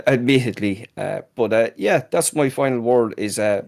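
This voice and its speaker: This is a man talking as a podcast guest in an easygoing, rambling way, full of ums and pauses. His pitch sits right in the middle of the range, neither high nor low. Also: gravelly, darkish tone. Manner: rambling, lots of ums and uhs. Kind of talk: casual chat.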